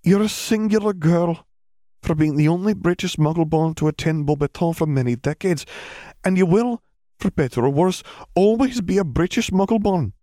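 Man voicing a stern older schoolmistress, pitched well up. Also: warm tone, dry recording, studio quality, close to an everyday voice. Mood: stern, exasperated. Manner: formal, precise, measured. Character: stern older female magic school teacher.

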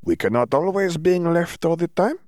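A man's voice: fairly high pitch, lively intonation, a little mellow, dry recording, clean studio sound, voice pushed up, lightly acted. A man voicing a confident foreign teenage schoolboy with stiff, broken phrasing in an audiobook story. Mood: discouraged. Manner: confident, halting foreign grammar.

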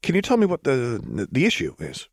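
A man voicing a gentle, worried young woman who speaks with careful, tactful softness. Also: mid-range voice, huge pitch swings, normal speed, no echo, clean studio sound, voice pushed up. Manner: tactful, soft, careful wording.

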